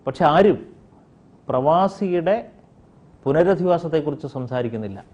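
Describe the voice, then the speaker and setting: A man giving his opinions in an interview, deliberate and argumentative, with hesitant pauses. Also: on the high side, lively intonation, highs cut off, noisy background. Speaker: interview guest commenting on expatriate affairs.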